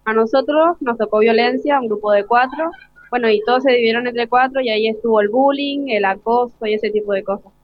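A woman's voice: fairly high pitch, a little fast, no echo. A young Argentine woman, a student, giving informal explanatory answers in an interview. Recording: dry, slightly dull lo-fi broadcast.

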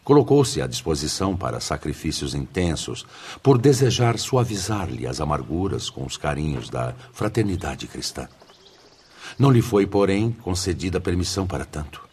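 An actor playing a grave, fatherly spirit director in a Brazilian radio drama, speaking in a solemn, measured and formal way with a Brazilian accent. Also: sits low, very animated, darkish tone, a little hiss or hum. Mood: calm, instructive. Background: music.

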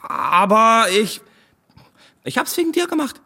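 An actor playing an evasive, jittery young small-time weed dealer in a radio drama, stammering and rambling in slang. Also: male voice, very high voice, very animated, unhurried, sharp tone, full character voice. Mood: defensive. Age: young adult. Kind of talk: naturalistic radio drama acting.

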